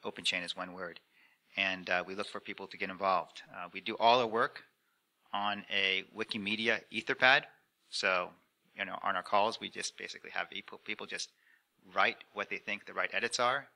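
A man with a fairly low voice and a very bright, forceful edge speaks on a conference panel. He explains things off the cuff in measured, halting phrases, posing questions as he goes into a handheld mic. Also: fairly even intonation, creaky. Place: conference room panel before an audience. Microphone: handheld microphone.

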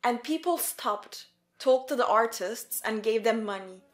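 A woman's voice: a little high, animated, a little slow, soft background music. A woman reading scripted narration for a documentary story in the third person.

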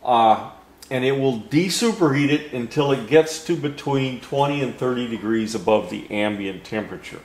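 An older man explaining a technical diagram in an unscripted lesson, slowly and haltingly, with many fillers and restarts. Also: mid-range voice, a little hiss or hum. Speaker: HVAC instructor. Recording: roomy echo.

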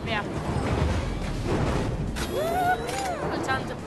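A woman giving casual gameplay commentary, excitable and cheering. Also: drawn-out words, over loud music.

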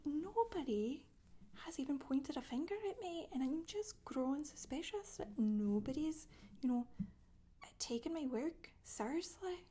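A woman playing a chatty, boastful witch in a soft-spoken ASMR monologue, rambling and gossipy, often slipping into a whisper. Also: high voice. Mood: aggrieved. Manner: rambling, gossipy, casual asides. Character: Scottish witch woman confessing, matter-of-fact, chatty, boastful. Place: small indoor room, seen seated.

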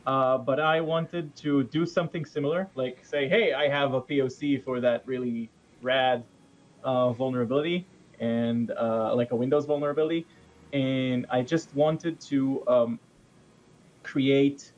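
A man's voice: medium pitch, fairly even intonation, a little slow, muffled recording. Hesitant Israeli man on a call. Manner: hesitant, lots of uhs and restarts. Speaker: guest. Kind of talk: casual chat. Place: home room. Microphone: headset, webcam video call.

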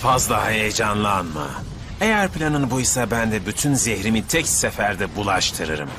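A man's voice: fairly low pitch, expressive, unhurried, noisy background. A man playing a menacing, taunting blader villain in a dubbed anime, theatrical and sneering. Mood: gloating. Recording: loud music under the voice.

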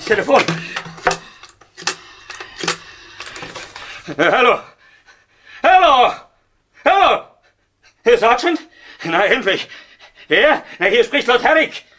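An actor playing a flustered, aggrieved aristocratic castle lord in a theatrical 1970s radio crime comedy, with a husky voice and a habit of repeating himself. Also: male voice, extremely high pitch, very animated, measured pace, long pauses, bright, forceful tone, exaggerated voice. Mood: panicked.

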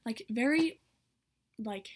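A woman's voice: on the high side, lively intonation, slow, husky. A teenage girl talking casually to camera in a rambling way, saying "like" often, as she explains character voices. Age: teens. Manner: rambling, peppered with "like". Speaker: vlogger demonstrating character voices. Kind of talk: casual chatty tutorial to camera. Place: small bedroom, indoors. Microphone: laptop mic at arm's length.

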